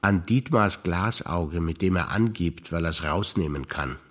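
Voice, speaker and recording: A man, a stage actor, calmly reciting a series of childhood memories in a theatre performance. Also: deep voice. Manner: calm, measured recitation.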